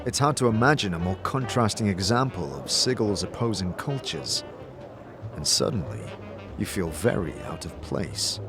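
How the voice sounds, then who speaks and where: A man narrating a scripted fantasy audio drama in a measured, descriptive storytelling manner. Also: lowish voice. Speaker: narrator and game master.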